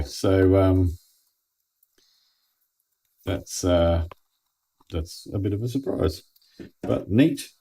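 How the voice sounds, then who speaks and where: A man's voice: fairly low pitch, warm tone. An Australian man giving a casual hands-on product review in a laid-back, plain-spoken way, as if thinking aloud. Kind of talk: casual unboxing and review commentary.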